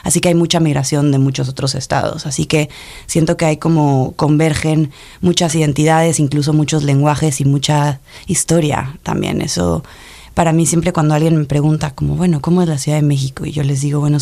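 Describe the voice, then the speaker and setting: A woman from Mexico City with a Mexico City Spanish accent, speaking as an interview guest in a reflective, thoughtful way with many pauses and restarts. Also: sits low, dark tone.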